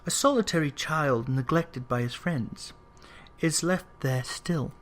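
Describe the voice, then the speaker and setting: A storybook reader voicing a gentle ghostly spirit guide, speaking softly in a grave, measured manner. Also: mid-range voice, close to an everyday voice. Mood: solemn.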